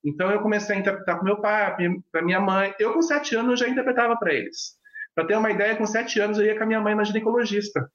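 A man with a notably high voice, whose first language is sign language, tells his life story in halting speech full of fillers and restarts. The sound is muffled and lo-fi, carrying the echo of a reverberant room. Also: fairly bright tone. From Brazil. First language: Brazilian Sign Language. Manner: hesitant, many fillers and restarts. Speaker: pastor and sign language interpreter. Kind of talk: personal storytelling in an interview. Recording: echoey, dull lo-fi sound.

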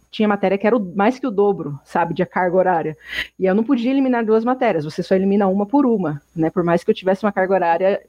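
Young woman from Brazil, chatty interviewee, mid pitch, dry muffled recording. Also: darkish tone, dry recording. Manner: rambling, many restarts and fillers. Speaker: interviewee, exchange student. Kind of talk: casual interview answer. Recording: dull muffled sound.

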